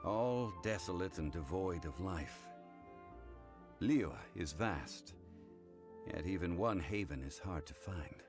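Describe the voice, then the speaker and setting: An actor playing a calm, learned gentleman in a video game, speaking formally and measuredly as he recounts old lore. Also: low voice, slow pace, music in the background. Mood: composed, solemn. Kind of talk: English video game voice acting.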